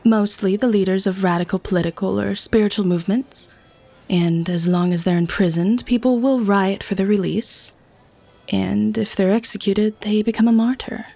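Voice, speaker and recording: A woman acts as a cynical vampire lady who runs her mortals like a warden. She is teasing, nonchalant and a little haughty, speaking at a middling pitch in an intimate ASMR roleplay manner. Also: steady tone, normal speed, gravelly, dark tone. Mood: smug, matter-of-fact. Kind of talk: ASMR audio roleplay acting.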